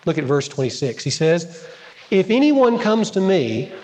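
A man preaching a sermon to a congregation, dramatic and emphatic, swinging between loud stresses and quiet asides. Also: fairly high pitch, big pitch swings, measured pace. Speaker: pastor. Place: church sanctuary. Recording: large hall with long reverb.

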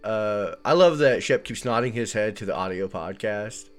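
A man hosting a chatty podcast, his voice a little gravelly as he gets over an illness, cracking puns as he talks. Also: on the low side, expressive, moderate pace, over music. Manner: punning, joking. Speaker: podcast host. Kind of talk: casual chat.

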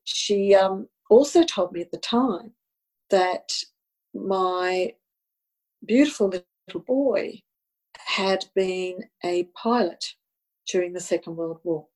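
A woman's voice: mid-range voice, slow, darkish tone, rough recording. A woman, whose was Italian, telling a personal story in an earnest, reflective way, often repeating words as she goes. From Australia. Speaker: guest recounting personal story. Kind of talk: casual storytelling.

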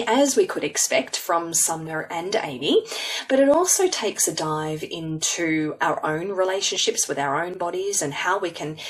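A woman's voice: lowish voice, distant mic. A woman hosting a podcast, introducing her guest in a casual, rambling way with many fillers and restarts. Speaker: podcast host.

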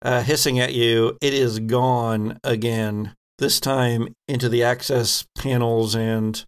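Creaky low-voiced man running a game. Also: little pitch movement, slow pace. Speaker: game master of a tabletop RPG podcast. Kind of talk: improvised game narration, conversational.

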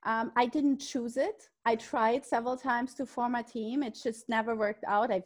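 Woman, German accent, 30s, frank, self-deprecating, halting, higher pitch. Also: fairly even intonation. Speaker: guest, real estate agent. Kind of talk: casual interview answers.

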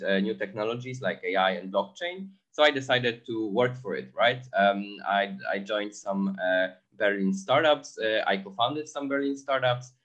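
Polish man, low voice, halting answers. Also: a bit bright, lo-fi sound. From Poland. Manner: hesitant, many fillers and restarts. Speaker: guest entrepreneur. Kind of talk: conversational interview answers.

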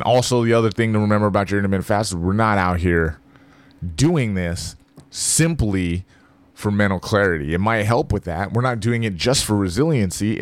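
Deep-voiced man in casual monologue. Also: no echo. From Philippines. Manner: loose, joking, talks to the listener directly.

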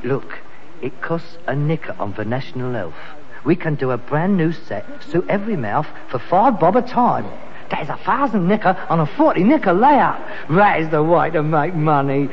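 An actor playing a boastful, exasperated son who deals in rag and bone, emphatic and cajoling, with a working-class London accent in a British sitcom. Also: male voice, on the high side, very animated, moderate pace. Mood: cajoling salesman. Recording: muffled, reverberant, hissy vintage sitcom recording.

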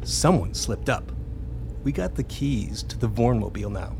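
Cocky, animated hacker guy. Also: medium pitch, normal speed, vocal fry, noisy background. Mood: eager, cocky. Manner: slangy, flippant. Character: cocky wisecracking male hacker showing off his finds. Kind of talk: radio play acting.

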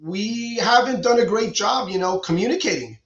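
A man's voice: high-pitched, normal speed, a bit bright. A middle-aged man from Cuba, a surgeon guest explaining his views earnestly, often restarting his sentences. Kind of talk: conversational interview. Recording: echoey dull lo-fi room sound.

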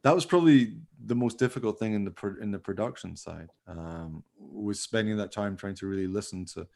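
Low-pitched man chatting casually. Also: fairly quick, vocal fry, darkish tone. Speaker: podcast host and amateur radio play producer. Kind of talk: casual chat about making a radio play.

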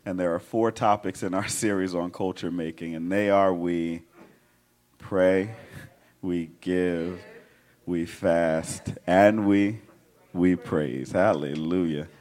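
A pastor preaching a sermon in a hoarse, raspy voice, building energy and talking directly to his congregation. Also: low voice, fairly even intonation, slow pace, darkish tone. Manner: preaching with rising call-and-response energy. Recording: sermon with organ music behind. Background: organ and instrumental music.

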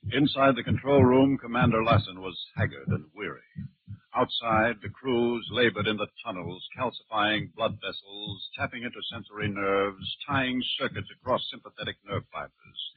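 An actor narrating a 1950s radio science fiction drama in a grave, dramatic manner. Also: male voice, fairly low pitch, husky. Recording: muffled 1950s radio recording, music behind. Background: music.

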